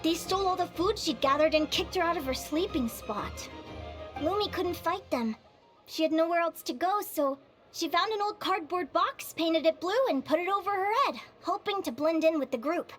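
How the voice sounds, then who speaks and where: A woman's voice: very high voice, moderate pace, fairly bright tone. A woman voicing an eager, curious young woman guild advisor in a video game, friendly and enthusiastic in manner. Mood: earnest storytelling. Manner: bubbly, enthusiastic. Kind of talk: video game character dialogue. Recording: music behind the voice.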